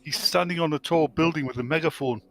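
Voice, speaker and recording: A man's voice: fairly high pitch, quick pace. Man cracking dry jokes over music. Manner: dry, joking asides. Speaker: riffing panel member. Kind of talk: casual comedic riffing.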